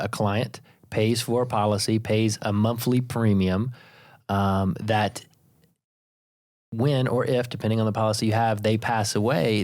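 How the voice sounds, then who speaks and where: A man's voice: lowish voice, a little mellow, no room sound, studio quality. A man co-hosting a podcast in casual chat, explaining ideas step by step and teasing his co-host. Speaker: podcast co-host, insurance agency leader.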